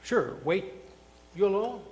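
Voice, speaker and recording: A man's voice: high-pitched, big pitch swings, measured pace, darkish tone. A man playing a curt, matter-of-fact launch pad official in a live radio drama. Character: gruff male launch pad official directing passengers. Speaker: radio theatre actor.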